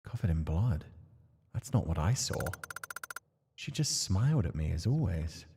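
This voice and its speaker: A man's voice: sits low, very animated, a little slow, gravelly, noisy background, voice pushed down, exaggerated voice. A man voicing a visual novel character, a reserved young man who is anxious, suspicious and hesitant. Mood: low, subdued.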